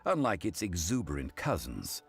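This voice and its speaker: An actor playing a composed, scholarly gentleman in a video game, speaking formally and with measured poise. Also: low voice, wildly swooping pitch, gravelly, slightly rough, heavily acted. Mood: expository. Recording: music behind the voice.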